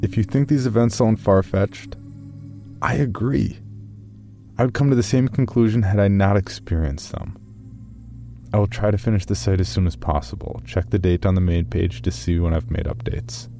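A man playing an earnest, guarded amateur caver, narrating his journal in a measured, matter-of-fact way for an audio horror drama. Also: on the low side, steady tone, gravelly, mellow, soft background music. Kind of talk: first-person scripted horror narration.

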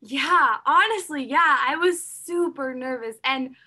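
A woman's voice: high voice, measured pace, pushed and bright. A young woman singer-songwriter answering interview questions, earnest and open, often saying "like" and "you know". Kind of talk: interview conversation.

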